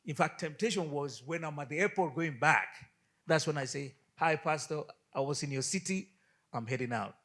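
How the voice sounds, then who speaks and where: A man from Nigeria, a guest pastor, telling an animated story in a studio interview and acting out the dialogue. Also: on the high side, normal speed.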